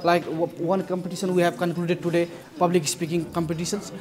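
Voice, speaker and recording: A man's voice: sits high, level tone, fast talker. A man with a Nepali accent giving an organiser's statement at an indoor event, with some hesitations. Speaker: event organiser, executive manager. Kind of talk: prepared interview statement. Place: indoor event venue, before a banner. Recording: crowd murmur behind.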